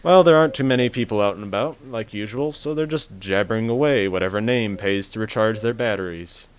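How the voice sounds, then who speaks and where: A man's voice: fairly low pitch, a little fast, smooth, lightly acted. A young man playing an awkward, sarcastic, reclusive gamer in an amateur romantic comedy audio drama. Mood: dry, casual. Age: young adult.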